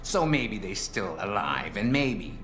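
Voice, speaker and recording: An actor playing a menacing, smug middle-aged crime boss in a video game, with slow, pointed delivery. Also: male voice, on the low side, big pitch swings, noisy background. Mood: calculating. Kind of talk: dramatic video game acting. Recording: echoey game audio under music.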